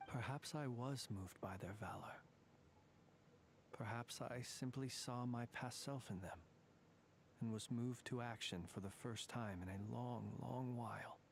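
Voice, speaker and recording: A calm, formal ancient fae. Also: male voice, medium pitch, creaky, a little hiss or hum. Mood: solemn. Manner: measured, formal, drops to near whispers. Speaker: lightkeeper guard. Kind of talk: video game dialogue.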